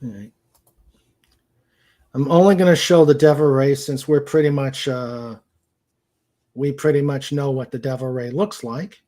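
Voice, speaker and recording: Halting, slow-talking man on a livestream. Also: medium pitch, steady tone, warm tone. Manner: halting, searching for words. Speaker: co-host of a livestream show. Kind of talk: casual livestream chat.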